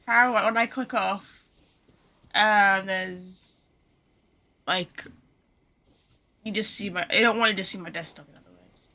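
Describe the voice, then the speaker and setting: A woman's voice: mid-range voice, steady tone, measured pace, long pauses, pushed and bright. A woman game streamer chatting casually to her viewers, rambling and poking fun at herself. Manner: chatty, rambling, self-deprecating. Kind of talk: casual live-stream chat.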